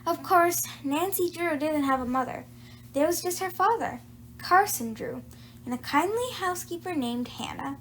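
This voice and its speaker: A woman reading a children's book aloud in an animated storytelling style. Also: very high voice, moderate pace, slight background noise.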